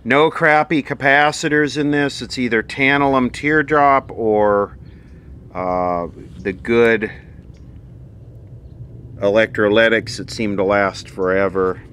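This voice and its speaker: A man's voice: mid-range voice, slow, fairly bright tone, a little hiss or hum. A man giving casual, off-the-cuff commentary as he looks over vintage electronics, with dry, sardonic asides. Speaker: vintage electronics repair host.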